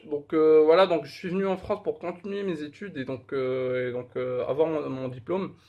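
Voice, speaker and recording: A man's voice: fairly high pitch, steady tone, moderate pace, mellow, dull audio. Hesitant young man from Morocco. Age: young adult. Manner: hesitant, many fillers and restarts; candid and self-deprecating. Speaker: fitness vlogger. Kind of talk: casual vlog talk.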